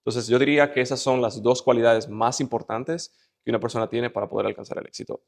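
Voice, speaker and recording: A man's voice: medium pitch, steady tone, quick pace, gravelly. A man with a Dominican Spanish accent speaking as an interview guest in an emphatic, motivational way, often building points with lists and rhetorical questions. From Dominican Republic.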